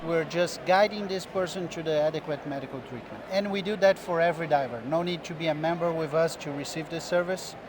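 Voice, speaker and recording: A middle-aged man from Brazil with a Brazilian Portuguese accent, explaining diving medicine in a measured way as an interview guest. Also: on the high side, noisy background. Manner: measured, explanatory, with small restarts. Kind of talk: interview answers. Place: busy expo booth floor.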